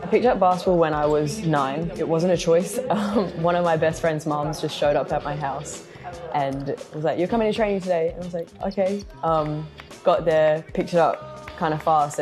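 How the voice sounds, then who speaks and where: A woman's voice: sits low, steady tone, moderate pace. A young woman with an Australian accent, a basketball player talking casually about herself in an interview. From born in London, raised in Singapore and Australia. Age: young adult. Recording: music behind the voice. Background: music.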